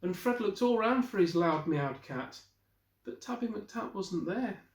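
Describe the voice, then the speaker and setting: A man in his forties reading a picture book aloud as an expressive storyteller, the way a teacher would. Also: high-pitched, measured pace. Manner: expressive storyteller with brief asides to listeners. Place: small wallpapered room indoors. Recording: roomy, echoey indoor recording.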